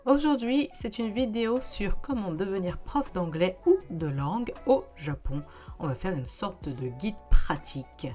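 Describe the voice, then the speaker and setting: A woman's voice: medium pitch, lively intonation, unhurried, warm tone, soft background music. A young adult woman hosting a casual vlog, explaining things in a chatty way straight to her viewers. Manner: chatty, conversational, addresses viewers directly. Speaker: YouTuber giving practical advice.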